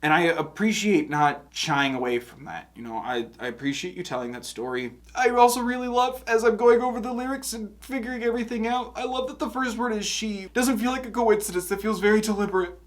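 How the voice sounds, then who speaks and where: A man's voice: high-pitched, fairly quick, few pauses. A young man with a congested nose, musing casually in a reaction video and rambling with many fillers and restarts. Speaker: music reaction host.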